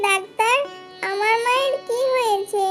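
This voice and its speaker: A cartoon little fox girl, speaks in a tiny, very high voice. She is earnest, devoted and full of worry, with music playing behind her. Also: fairly even intonation, normal speed, fairly bright tone. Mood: pleading. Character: worried, devoted young fox daughter, pleading and earnest. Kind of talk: Bengali children's cartoon dubbing.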